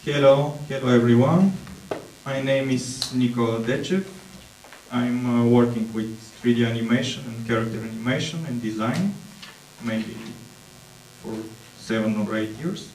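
A Bulgarian man in his thirties giving a conference presentation in English, hesitant and pausing to search for words. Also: medium pitch, darkish tone. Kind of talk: slow conference talk with slides. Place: dark auditorium stage. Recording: echoey hall, distant mic, mains hum.

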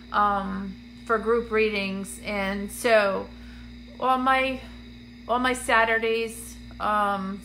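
A woman, a medium hosting a phone live stream from a dim room, chatty and full of ums, sounding congested and a little raspy. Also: fairly high pitch, bright, forceful tone, a little hiss or hum, low-quality recording. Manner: chatty, greets viewers by name, many ums. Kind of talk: casual livestream chat to viewers. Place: dim indoor room, seated on a couch. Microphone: phone camera at arm's length.

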